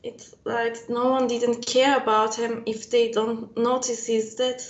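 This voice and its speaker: A woman's voice: a little high, flat delivery, measured pace. Nervous woman on an echoey, dull call. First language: not English. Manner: hesitant and apologetic, with many fillers. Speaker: debate caller.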